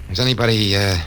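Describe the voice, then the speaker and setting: A man's voice: fairly low pitch, fairly bright tone. A man playing an uneasy, questioning space navy lieutenant in 1950s radio drama style, speaking hesitantly. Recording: noisy vintage 1950s radio broadcast.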